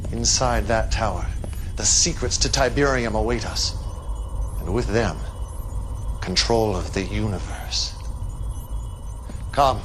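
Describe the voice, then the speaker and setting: An actor playing a zealous, calculating cult leader in a game cutscene, speaking in a calm, grandiose, deliberate manner. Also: male voice, medium pitch, a little hiss or hum, lightly acted. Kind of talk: game cutscene acting, addressing the player.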